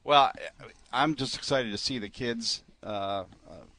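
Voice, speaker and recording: A man's voice: mid-range voice, unhurried, sharp tone. A man who directs a tournament, answering interview questions in a plain, friendly way with frequent fillers.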